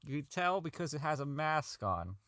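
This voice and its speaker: A man's voice: fairly high pitch, sing-song, normal speed, a bit bright, no room sound, clean studio sound. A man playing a socially inept, unravelling robot designer in an audio drama log, rambling, stammering, swearing and repeating himself. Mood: proud pitch.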